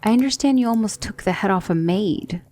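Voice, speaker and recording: A woman playing a gentle, patient demon mentor in an ASMR roleplay, speaking softly and often in a whisper, soothing and reassuring. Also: medium pitch, animated, brisk, close to an everyday voice. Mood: soothing, instructive.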